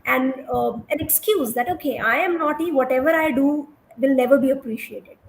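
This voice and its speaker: A woman with a high, light voice, speaking over a video call. She talks earnestly about teaching children and acts out what a teacher says in class. A faint hiss of noise sits under her voice. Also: normal speed, gravelly, poor audio. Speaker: education speaker, English teacher. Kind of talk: live online interview, explaining to host.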